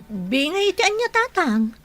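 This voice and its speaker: A woman's voice: very high-pitched, very animated, normal speed, a bit bright, faint music behind, full character voice. A woman acting an earnest, admonishing mother in an Ilocano radio drama, full of questions, with an Ilocano accent. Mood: indignant, protesting. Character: earnest scolding mother, loyal to her husband's earnings.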